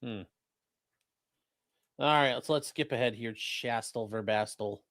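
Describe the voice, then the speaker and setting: A lawyer giving casual, lively commentary over courtroom footage and imitating the people in it. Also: mid-range voice, a little slow, dry recording, clean studio sound. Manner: animated, mimics the people on screen. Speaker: attorney commentator reacting to trial footage.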